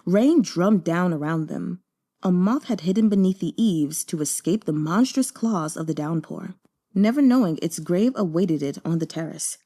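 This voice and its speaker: Mellow, expressive woman narrating fiction. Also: lowish voice, moderate pace. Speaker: audiobook reader. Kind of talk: dramatic scripted narration of a novel.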